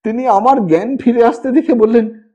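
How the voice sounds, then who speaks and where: A man narrating a ghost story as a dramatic audiobook, with measured, expressive and suspenseful delivery. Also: very high-pitched. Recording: dull lo-fi voice track over music. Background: background music.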